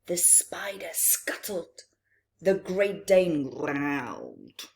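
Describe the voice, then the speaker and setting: Very slow, expressive woman reading to children. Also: sits low, creaky. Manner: deliberate, expressive, with long pauses. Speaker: children's storytime reader. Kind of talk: picture book read-aloud with asides.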